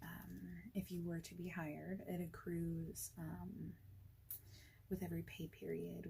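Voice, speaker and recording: Casting: woman for an informal boss in ASMR roleplay; lowish, dark-toned soft voice, near whisper, rambling and relaxed. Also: slight background noise. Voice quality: soft, breathy, often dropping to a near whisper. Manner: casual, hesitant, rambling with many pauses and "like". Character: friendly informal female office boss. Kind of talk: soft-spoken ASMR roleplay, informal job interview chat.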